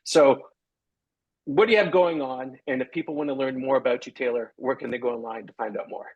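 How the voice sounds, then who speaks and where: A man's voice: medium pitch, a little fast, slightly rough, highs cut off. A man hosting an interview, upbeat and salesy as he plugs the next release. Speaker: host.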